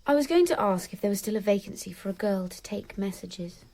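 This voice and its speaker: A woman plays a proud, independent young Roman noblewoman in her twenties, speaking gently and frankly with quiet resolve. Her voice is warm and mellow, at a middling pitch, in a radio drama. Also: animated, a little fast, close to an everyday voice. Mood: tender. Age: 20s.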